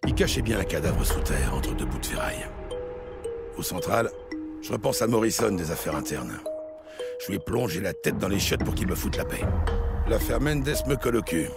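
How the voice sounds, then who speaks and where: An actor playing a hard-boiled New York police detective in a noir audio drama, terse, cynical and streetwise, with a gravelly voice. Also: male voice, low-pitched, very animated, moderate pace, loud music behind the voice. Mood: weary, cynical. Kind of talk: noir audio drama acting and first-person narration.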